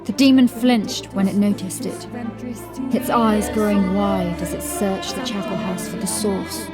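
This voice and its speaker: A woman narrator reading scripted horror fiction in a measured, deliberate, dramatic storytelling style. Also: mid-range voice, steady tone. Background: music.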